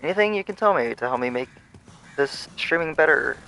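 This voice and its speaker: A man streaming a game, musing on philosophy in a rambling, thoughtful way with many pauses and fillers. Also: lively intonation, gravelly, background noise. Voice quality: low-voiced. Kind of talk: casual musing while livestreaming a game.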